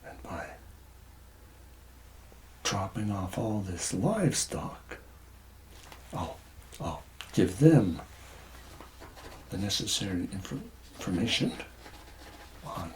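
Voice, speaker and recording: Man, soft ASMR roleplay, lilting and varied intonation, frequent whispering, meandering conspiratorial delivery, faint noise and clicks. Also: fairly low pitch, sing-song, slow, pauses often. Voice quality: soft voice, often dropping into whispers. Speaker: ASMR performer playing a tax collector.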